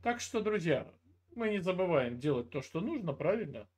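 An older man with a notably high voice lectures and scolds in a heated video chat. He talks into an earphone cable mic from a room at home, often cutting in to finish his point. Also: sing-song, moderate pace, darkish tone. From Russia. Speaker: video chat channel host. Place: indoor room, video call.

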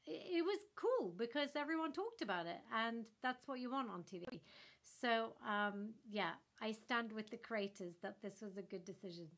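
A woman in her thirties from Norway, a native Norwegian speaker, answering interview questions eagerly over a webcam video call from home. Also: fairly high pitch. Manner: enthusiastic, animated with hand gestures. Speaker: actress guest. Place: home room in front of a floral wall. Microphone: laptop webcam on a video call.

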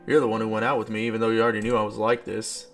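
A man's voice: fairly low pitch, flat delivery, very fast, a bit bright. A man voicing a blunt, dismissive, sly teenage schoolboy in a visual novel, curt and casual. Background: background game music.

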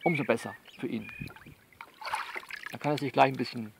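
A man playing a frank, worldly coach who talks a female client through things by phone in a blunt, coaxing, colloquial way with repeats and pauses. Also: mid-range voice, gravelly, a little hiss or hum, lightly acted. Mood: calm, coaxing. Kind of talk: improvised-sounding one-sided phone monologue in an audio novel.

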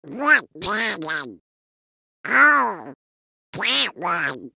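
A hot-tempered, put-upon cartoon duck, with a very sharp, crackling squawk. His garbled quacking comes out slowly and high, in a comic dub style. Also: very animated, gravelly, husky, no echo, clean studio sound, full character voice. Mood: sleepy, irritated.

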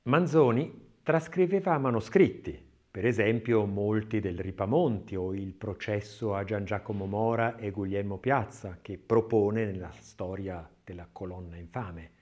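A man narrating an audiobook in a scripted, expressive literary reading style, with measured phrasing and dramatic emphasis. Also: fairly low pitch.

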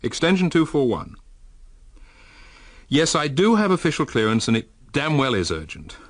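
Fed-up official in a radio play, blunt, animated, close dry sound. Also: male voice, on the high side, normal speed, dry recording. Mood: impatient. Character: exasperated English official, blunt and impatient, on telephone. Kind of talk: radio drama acting.

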